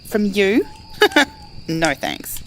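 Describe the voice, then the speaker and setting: A woman's voice: medium pitch, very animated, drawn-out words, a bit bright, soft background music, full character voice. A woman acting as a worn-out, complaining survivor in an audio drama, speaking naturally with a sarcastic, grumbling manner. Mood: dry teasing. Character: Jackie, weary complaining female survivor in a plague-ridden America.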